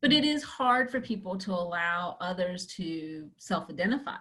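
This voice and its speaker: A woman hosts a counseling talk in a reflective, affirming way. She thinks aloud as she speaks, and her phrases often fade softly at the end. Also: fairly low pitch, expressive, moderate pace. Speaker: host, counseling educator. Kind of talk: conversational interview.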